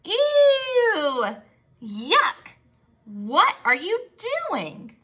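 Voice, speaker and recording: A scornful storybook naked mole rat, acted out by a woman reader. The voice jumps high and bright, exclaiming with sarcastic disgust and bossy demands. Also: wildly swooping pitch, drawn-out words, heavily acted. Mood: disgusted, mocking.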